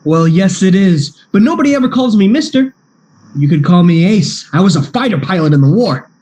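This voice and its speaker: An actor playing an eager, bumbling private detective in a comic radio play, with a hesitant, stammering delivery. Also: male voice, sits high, animated, a little fast, a little mellow. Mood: eager, flustered.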